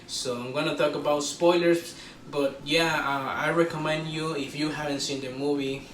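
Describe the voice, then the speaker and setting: A man hosting a casual, unscripted movie review, talking like an excitable fan with drawn-out exclamations. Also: on the high side, far from the mic. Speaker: host of a movie review show. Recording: roomy echo, off-mic, faint background noise.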